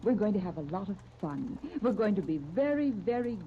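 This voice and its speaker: A woman's voice: mid-range voice, sing-song, a little fast, close to an everyday voice. An actress playing a flirtatious, affectionate woman neighbour, coy and cajoling, in 1930s radio serial style. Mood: playful, coaxing. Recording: dull, noisy old radio recording.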